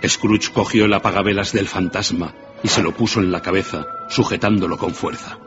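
Deep male storyteller over music. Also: fairly even intonation, moderate pace, lo-fi sound. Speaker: narrator. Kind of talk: dramatic scripted storytelling.

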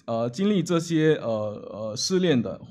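A man's voice: fairly high pitch, expressive, normal speed, darkish tone. A man seated at the front of a church interprets a sermon phrase by phrase into a table microphone. His delivery is hesitant, broken by filler sounds and restarts as he searches for the next words.

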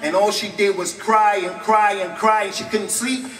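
A middle-aged American man preaching a mosque lecture at a stand microphone, animated and mixing Arabic phrases into his English. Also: high-pitched, moderate pace, faint music behind. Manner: animated, gestures widely, mixes in Arabic phrases. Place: large mosque hall, indoors. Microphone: stand microphone, filmed from a distant camera. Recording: echoey hall, distant camera mic.

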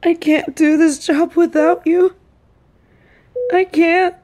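Woman acting a slow-spoken, intense secret agent. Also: high-pitched, a little mellow. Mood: anguished confession. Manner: slow, emphatic, emotionally intense delivery. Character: stern female secret agent, fiercely protective, secretly in love. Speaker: voice actor in audio roleplay. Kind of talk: dramatic intimate audio roleplay.

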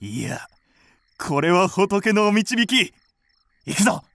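Guy playing a grumpy blustering hunter in a radio play, higher voice, swings all over. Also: sing-song, slow pace, fairly bright tone, no echo, heavily acted. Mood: cocky, determined. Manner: rough, blustering, exclamatory. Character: hot-headed, vengeful village hunter, a man. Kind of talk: radio drama acting.

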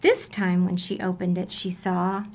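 A woman reading a children's story aloud in an expressive, deliberate storytelling manner. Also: fairly low pitch, gravelly. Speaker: storybook reader.

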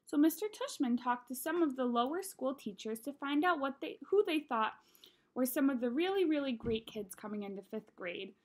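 A woman voicing an earnest, coaxing mother in a storybook, gently insistent and persuasive. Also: high voice, lively intonation, normal speed.

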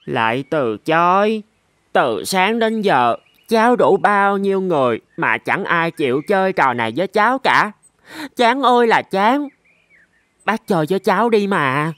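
An actor as a cocky, lively cartoon goat kid. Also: mid-range voice, measured pace, dry recording. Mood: whiny, pleading. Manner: sing-song, pleading, boastful. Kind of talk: Vietnamese animated fable dialogue.

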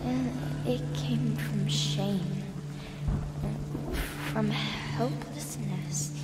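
A woman voicing a sad, frightened ghostly little girl in a horror game, speaking in halting, childlike phrases. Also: on the high side, fairly even intonation. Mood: fearful, sorrowful. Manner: halting, faltering, childlike speech. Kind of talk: dramatic game dialogue. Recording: cavernous reverb, loud rain and music.

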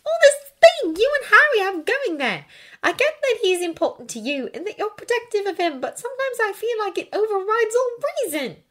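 A woman's voice: very high-pitched, sing-song, moderate pace, fairly bright tone, voice pushed up, exaggerated voice. A woman voicing a bookish, earnest teenage witch who is exasperated but caring, in insistent audiobook dialogue. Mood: frustrated.

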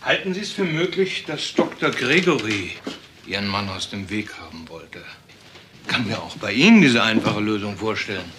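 An actor playing a suspicious, sardonic police detective in a radio crime drama, asking pointed, persistent questions. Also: male voice, lowish voice, animated, moderate pace, natural voice. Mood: cool, pressing. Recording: faint background noise.

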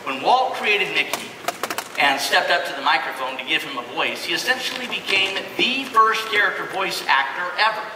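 A man's voice: high voice, lively intonation, moderate pace. A middle-aged man giving a prepared presentation as host on a large stage. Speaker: presenter, company executive. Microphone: microphone through hall PA. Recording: echoey hall from far off, crowd, noise.

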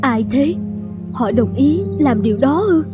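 A woman's voice: high voice, expressive, a little slow, over music. A woman voicing an anxious, hard-pressing wealthy wife and mother in a cartoon fairy tale, fretful and insistent.

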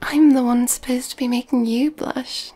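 A woman playing a nurturing, gently dominant, affectionate girlfriend in an ASMR roleplay, in a soft breathy whisper. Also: sits high, steady tone, moderate pace, faint music behind. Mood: teasing, affectionate.

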